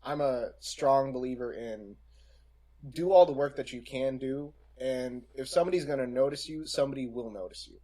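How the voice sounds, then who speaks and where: Halting young man with a medium-pitched voice. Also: mellow. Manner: halting, reflective, repeats phrases as he builds a point. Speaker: podcast panelist. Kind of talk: casual podcast discussion.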